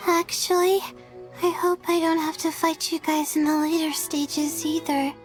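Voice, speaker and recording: A woman's voice: high-pitched, level tone, measured pace, loud music behind the voice. A woman playing a timid, perpetually sleepy young scholar girl in a video game, hesitant and apologetic. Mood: shy, grateful. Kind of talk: video game character acting.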